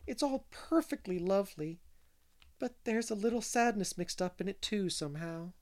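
A man's voice: on the high side, moderate pace, a little mellow, close to an everyday voice. A man reading as an earnest, kindly young woman from a storybook, romantic and dreamy yet firm, with literary phrasing. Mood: tearful, dreamy.

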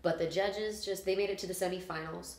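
A woman musician talking casually in a podcast interview, chatty and animated, with plenty of fillers. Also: on the low side, brisk, roomy sound. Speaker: musician guest.

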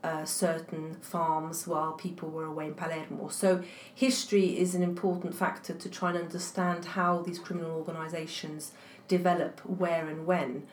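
A woman with a lowish voice whose first language is French, speaking as an academic guest in an interview. She talks in a thoughtful way and fills her pauses with plenty of ums. The recording has a roomy echo and a faint hiss underneath. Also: flat delivery. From England. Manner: thoughtful, many fillers and restarts. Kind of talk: interview answers. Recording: echoey room, faint noise.

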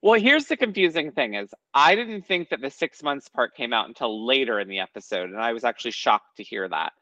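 Guy with a bright animated voice, blunt and sweary, kinda dull lo-fi mic. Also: medium pitch, a little fast, dry recording. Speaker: podcast co-host. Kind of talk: casual recap chat.

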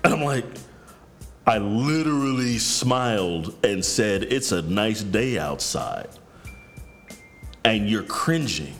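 A man's voice: animated, slow, long pauses, fairly bright tone, faint music behind. A man, a podcast guest who talks directly and bluntly and swears freely. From New York. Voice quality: deep voice. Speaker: guest, counselor. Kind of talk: candid podcast conversation.

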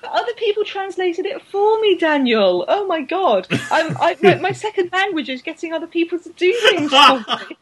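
A woman's voice: extremely high pitch, sing-song, moderate pace, a crowd in the background. A woman co-hosting a podcast, chatting casually and laughing often, with frequent restarts. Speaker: podcast co-host. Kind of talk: casual chat about women's cycling.

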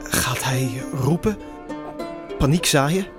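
A man's voice: medium pitch, slow pace. A man narrating an immersive audio drama in the second person, with a suspenseful storytelling manner. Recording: music behind the voice. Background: music.

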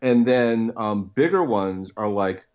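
A man's voice: fairly low pitch, lively intonation, slow pace, darkish tone. An American man chatting casually as a podcast guest, repeating words as he thinks his way through. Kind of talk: casual chat.

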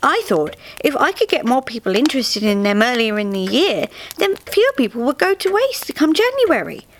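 A woman plays a sheepish, well-meaning male ghost of Christmas in a storybook tale. The voice is high and lilting, innocently reasoning, with faint noise behind it. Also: very animated, moderate pace. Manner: innocent, hesitant explaining. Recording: faint noise, live theatre reading.

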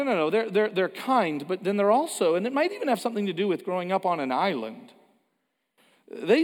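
A pastor from Canada giving a sermon in a conversational way, with joking asides and frequent restarts. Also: male voice, sits high. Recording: long hall reverb.

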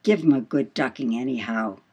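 A woman's voice: a bass voice, moderate pace, dark tone, slightly rough. A woman playing a brash, boastful male sailor in an audiobook, with salty, swaggering speech and emphatic questions. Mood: defiant, boastful. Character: brash, boastful male whaling mate telling devil yarns. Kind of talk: dramatised audiobook dialogue.

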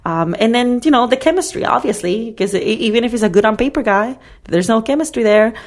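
A woman's voice: on the high side, lively intonation, quick pace. A woman co-hosting a casual chat, giving frank, emphatic dating advice and laughing often. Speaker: podcast co-host.

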